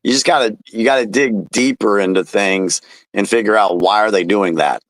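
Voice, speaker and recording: Man, co-host, folksy, lowish voice, dry recording. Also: moderate pace, dry recording. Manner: folksy, addresses the audience. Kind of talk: casual political discussion.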